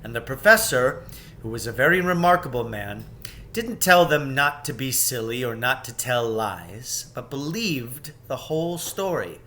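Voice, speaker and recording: A man narrates a children's storybook with theatrical care. He takes his time, with a bright, forceful tone and wide swings of pitch. Some rumbling noise sits behind him. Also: sing-song, measured pace. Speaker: storybook reader. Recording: background noise with low rumble.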